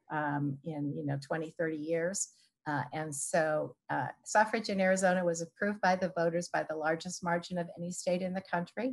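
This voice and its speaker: A woman with a fairly low voice speaks over a video call from a room at home. She explains things in a measured way as a panelist, pausing often with ums and uhs. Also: poor audio. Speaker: historian panelist. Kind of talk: panel discussion answers.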